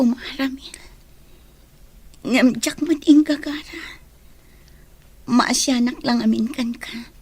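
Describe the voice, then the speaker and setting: A woman with an Ilocano accent playing a soft-hearted, guilt-torn young woman in a radio drama, earnest and sorrowful. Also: high-pitched, breathy edge, exaggerated voice. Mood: tearful apology.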